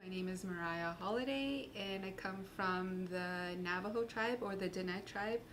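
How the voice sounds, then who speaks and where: A woman's voice: mid-range voice, normal speed, a bit bright, a little hiss or hum. Earnest woman intern from Utah. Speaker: student research intern. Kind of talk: interview about her research.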